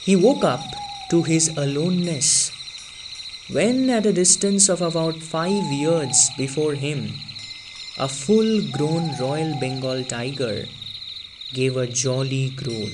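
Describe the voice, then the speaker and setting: A man reading a story aloud, slow and deliberate, sinking lower for the tense moments. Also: noisy background. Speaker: story reader. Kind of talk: storybook read-aloud narration.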